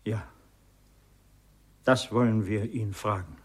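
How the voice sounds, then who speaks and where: A despairing villager. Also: male voice, fairly low pitch, breathy edge, full character voice. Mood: bitter. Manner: slow impassioned rhetoric. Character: despairing villager accusing God.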